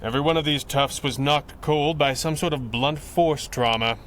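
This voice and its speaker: An actor playing an analytical masked crimefighter with dry, articulate speech in a radio adventure serial. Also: male voice, on the high side, normal speed, fairly bright tone, slight background noise, lightly acted. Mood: composed, analytical. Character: composed, analytical male masked detective hero.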